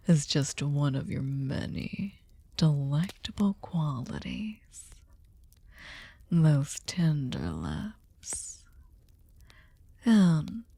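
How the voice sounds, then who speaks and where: Slow, low-voiced, sadistic vampire woman played by an actress. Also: mellow, no echo, heavily acted. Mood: possessive, seductive. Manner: slow, theatrical, taunting, often dropping into breathy whispers. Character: sadistic, possessive, manipulative centuries-old female vampire lord. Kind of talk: intimate ASMR roleplay monologue.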